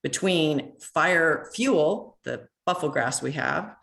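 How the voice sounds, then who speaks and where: A woman, president of a neighborhood association, reading an earnest public comment over a phone line, measured with a few halting restarts. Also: deep voice, animated, creaky, fairly bright tone. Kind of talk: prepared public comment read aloud. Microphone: phone call into online meeting.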